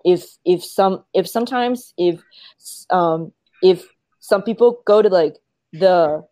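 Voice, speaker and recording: A woman's voice: on the low side, warm tone, no room sound. A young woman from Malaysia talks casually over a video call. She hesitates often and keeps restarting her sentences with fillers as she explains things. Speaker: guest.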